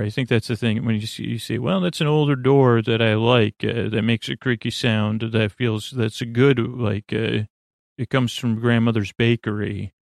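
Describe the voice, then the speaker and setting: Creaky-voiced man rambling sleepily. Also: medium pitch, no echo, studio quality. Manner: meandering, halting, drifts off topic. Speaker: podcast host. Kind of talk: rambling bedtime monologue meant to lull listeners to sleep.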